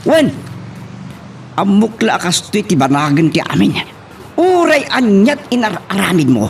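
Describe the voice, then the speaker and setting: A man's voice: sits high, very animated, unhurried, creaky, slight background noise, exaggerated voice. A man with an Ilocano accent playing an exasperated, scolding villager in an amateur audio drama. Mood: angry, scolding.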